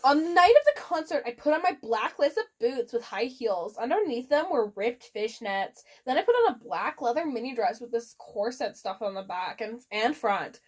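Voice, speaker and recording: A woman's voice: a little high, lively intonation, normal speed, few pauses. A woman reading fan fiction aloud in a theatrical, giggly, comedic way. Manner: giggly, theatrical, often breaks into laughter.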